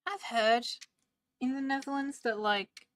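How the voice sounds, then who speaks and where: A woman's voice: high voice, steady tone, a little slow, no echo. A young woman chatting casually and rambling while she studies on a stream. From Australia. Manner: rambling, chatty, many "like" fillers.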